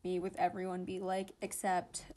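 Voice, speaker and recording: A woman's voice: on the low side, completely flat delivery, normal speed, mellow. A young woman casually narrating and commenting on fan comics in a gushing, giggly, informal way.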